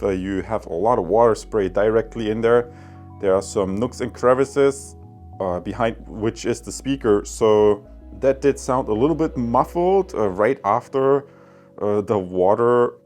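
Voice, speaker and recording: A man's voice: mid-range voice, a little mellow. A man giving a casual spoken product review, addressing viewers directly and often repeating his phrases. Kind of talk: casual product review and radio range test commentary.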